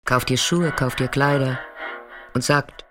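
A man performing scripted literary narration in a deliberate, dramatic way, sometimes dropping to a hushed near-whisper. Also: mid-range voice, fairly even intonation, over music.

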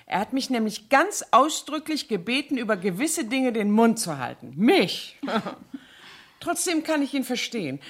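A woman's voice: fairly high pitch, fairly bright tone. An actress in a German radio drama playing a chatty, indiscreet cleaning lady, garrulous and self-righteous.

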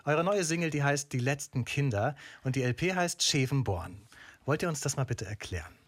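A man's voice: medium pitch, expressive, moderate pace, natural voice. A man playing a radio host who presents a show and interviews guests in a radio drama. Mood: welcoming. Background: loud music.

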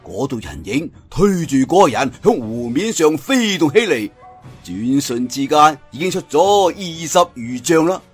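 A clear-voiced but slightly rough man tells martial arts tales in a Cantonese accent, with wide melodic swings. His delivery is dramatic and full of exclamations, set over faint music. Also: on the high side, very animated, a little slow. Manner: dramatic, with exclamations and conversational asides. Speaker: storyteller. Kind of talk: animated traditional Cantonese wuxia storytelling.

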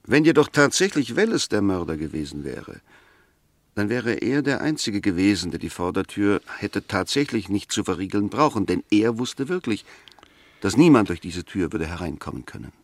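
An actor playing an insistent, reasoning defense barrister in a 1960s German radio play, arguing rhetorically and emphatically. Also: male voice, fairly low pitch, very animated, moderate pace, dry recording. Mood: reasoned rebuttal.

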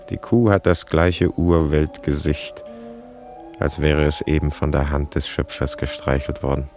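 Very deep, slow, haunted wanderer. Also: male voice, darkish tone, full character voice. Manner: solemn, halting. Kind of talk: poetic radio drama acting.

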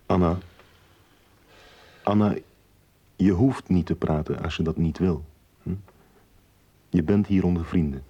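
Kindly support group leader in a radio play, deep dark voice, dull sound. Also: male voice, measured pace, long pauses, breathy edge. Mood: reassuring. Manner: gentle, reassuring. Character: reassuring man leading a support group meeting. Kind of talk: radio drama acting. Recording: dull, band-limited sound.

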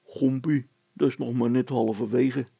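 A man's voice: medium pitch, fairly even intonation, unhurried, creaky, warm tone, husky, no room sound. A man voicing a reluctant, worried village policeman in a story, hesitant and plaintive in his grumbling. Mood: bewildered.

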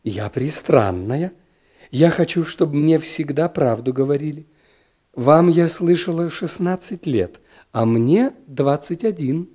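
A man's voice: fairly high pitch, lively intonation, a little slow, dark tone. A man playing a playful, candid princess of twenty-one in a classic story reading, teasing and self-assured.

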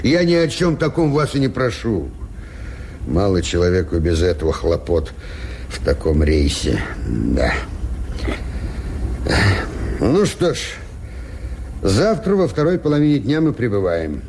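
Deep-voiced, deliberate old-radio ship's captain. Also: male voice, very animated, darkish tone. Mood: placating, weary. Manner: hesitant, deliberate, with pauses and muttered asides. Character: gruff, uneasy ship's captain, weary and confidential. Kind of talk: Soviet radio drama acting. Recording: noisy old radio drama recording.

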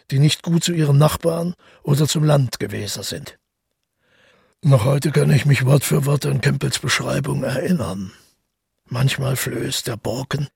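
An actor playing a brooding, haunted man who tells his past in a halting, intimate audio drama monologue with a husky, gravelly voice. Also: mid-range voice, a little mellow, no echo, studio quality, full character voice. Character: brooding, haunted male first-person narrator recalling the past.

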